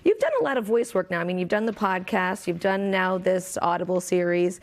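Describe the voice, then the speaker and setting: A woman hosting a TV talk show interview, upbeat and quick to answer with short yeses. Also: fairly low pitch. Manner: upbeat, quick affirmations, laughs easily. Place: TV studio.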